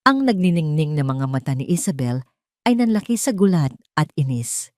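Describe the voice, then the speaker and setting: A Filipino woman narrates a scripted audio drama. Her voice sits low, and the recording is dry, with very little room sound. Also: sing-song, moderate pace, darkish tone, dry recording.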